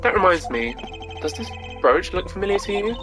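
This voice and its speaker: A woman's voice: on the low side, sing-song, a little fast, music in the background. A woman voicing an earnest, flustered young male defense attorney in a video game, questioning and a little hesitant.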